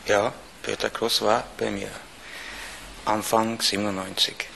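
Older man, grave Russian official, radio play, lowish gravelly voice, halting, noisy ambience. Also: little pitch movement, bright, forceful tone, heavily acted. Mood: grave, guarded. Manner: halting, deliberate, ominous.

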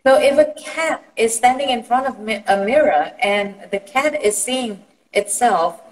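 A woman's voice: fairly high pitch, lively intonation, unhurried. A woman from Indonesia in her thirties talks into a phone held at arm's length. She explains at length, earnestly, restarting her sentences and filling gaps with "um". The room rings with a long echo. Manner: rambling, earnest, many fillers and restarts. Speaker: guest psychologist. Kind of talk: explaining on a live video call. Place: bright room indoors.

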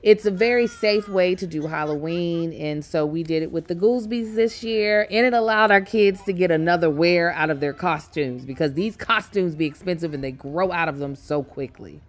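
A woman's voice: on the low side, normal speed, few pauses. An American woman giving a casual, colloquial voice-over narration as a family vlogger.

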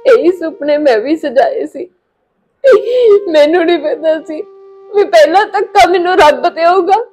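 A woman's voice: high voice, darkish tone, natural voice. A woman playing a heartbroken, wronged young wife in an emotional drama, plaintive and tearful. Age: young adult. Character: heartbroken, wronged young married woman, despairing and refusing comfort. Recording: music behind the voice.

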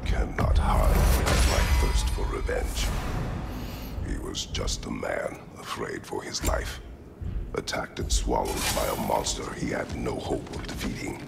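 A man playing a brooding, guilt-ridden warrior in a video game, speaking gravely and tersely with a gravelly voice. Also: measured pace, loud music behind the voice, full character voice. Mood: grave remorse. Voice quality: very deep, gravelly. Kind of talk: dramatic video game acting.

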